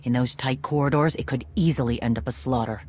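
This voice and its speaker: A woman's voice: extremely low pitch, fast, darkish tone, slight background noise. An actress playing a tough, blunt female clan commander in a video game, with a dry, authoritative manner. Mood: frank, wry.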